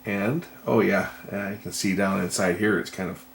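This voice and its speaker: Low gravelly man over background noise. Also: steady tone. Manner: thinking aloud, tentative and unhurried. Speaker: repair vlogger. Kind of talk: casual hands-on repair commentary.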